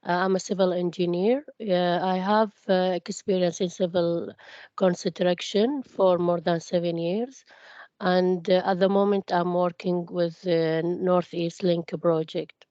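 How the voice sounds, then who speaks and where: Mellow-voiced woman from Iraq. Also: lowish voice, steady tone, unhurried, no echo. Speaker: civil engineer. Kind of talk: self-introduction. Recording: dry, dull video call audio.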